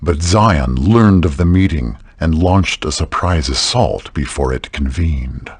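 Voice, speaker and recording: Very deep, husky, solemn elder lord. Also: male voice, animated, a little slow, no room sound, exaggerated voice. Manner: solemn, formal exposition. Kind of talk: fantasy game voice acting.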